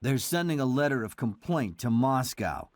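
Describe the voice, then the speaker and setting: A man's voice: mid-range voice, expressive, normal speed, dry recording, studio quality, natural voice. An actor playing a blunt, determined male resistance fighter in a game cutscene, giving urgent commands. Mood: grim.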